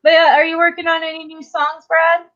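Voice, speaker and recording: A woman's voice: very high voice, flat delivery, fairly quick, fairly bright tone, faint music behind. A woman interviewing casually and a little tentatively, heard over dull lo-fi audio.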